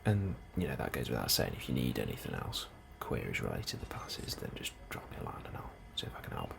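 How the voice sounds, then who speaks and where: Very deep, gravelly, soft-spoken man. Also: big pitch swings. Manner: hushed, unhurried, sometimes dropping into whisper. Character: calm, helpful male colony immigration officer. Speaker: ASMR role-play performer. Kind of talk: soft-spoken ASMR role-play as an immigration clerk. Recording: clearly audible background ambience noise.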